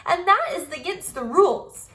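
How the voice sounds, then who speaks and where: A woman voicing a bossy, smug first-grade girl in a children's storybook, squealing and tattling loudly. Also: very high voice, big pitch swings, moderate pace, pitched above the performer's own voice, full character voice. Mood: indignant tattling. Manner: loud, exclaiming, tattletale delivery. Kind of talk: children's storybook read-aloud character.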